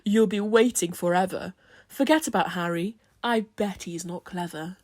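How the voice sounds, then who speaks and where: A woman's voice: lowish voice, normal speed, darkish tone, no room sound, studio quality, natural voice. A woman voicing a boastful, pushy male scarecrow in a storybook, with a pompous, showy manner. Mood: boastful, scornful.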